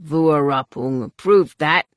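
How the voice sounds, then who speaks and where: A narrator voicing a battle-worn male alien outcast in an audiobook, devout and defiant, with a rasping voice. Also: mid-range voice, slow, dry recording, studio quality, lightly acted. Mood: proud.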